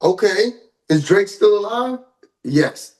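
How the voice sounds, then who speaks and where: A man's voice: high-pitched, lively intonation, slow. A man co-hosting a casual podcast, giving slangy, opinionated takes on hip-hop and pop culture news with exaggerated asides. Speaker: podcast co-host.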